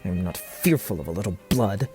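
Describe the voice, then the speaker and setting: A man's voice: deep voice, expressive, fairly quick, loud music behind the voice. A man playing a proud, stubborn military captain and duelist, haughty and defiant in formal audio-drama lines. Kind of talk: stage-play audio drama acting.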